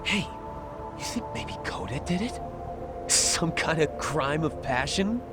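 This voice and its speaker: A man's voice: a little high, sing-song, slow, over loud music. An actor playing a brash, mouthy young male student underling in a video game, slangy and flippant.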